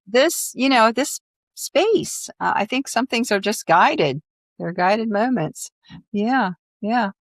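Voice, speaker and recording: A woman's voice: on the high side, expressive, slow, no room sound. A middle-aged woman hosting a podcast, chatting casually with her guest in an effusive, encouraging way. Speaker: podcast host. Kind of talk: casual interview chat.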